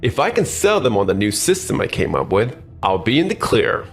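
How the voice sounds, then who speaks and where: A man's voice: on the low side, huge pitch swings, fairly quick, soft background music. A man playing a scheming, self-important office manager with pushy corporate formality in a manga dub. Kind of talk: scripted manga dub acting.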